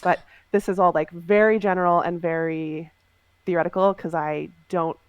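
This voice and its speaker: A woman's voice: on the low side, steady tone, moderate pace, clean tone, dry recording. A woman player talking casually about a tabletop game, thinking aloud with many restarts and fillers.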